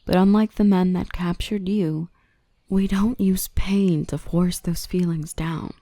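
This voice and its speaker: Woman acting as a gentle dominant dark elf lady, mellow, dry close sound, soothing, reassuring, half-whispered, intimate ASMR roleplay. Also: fairly low pitch, fairly even intonation, measured pace, dry recording.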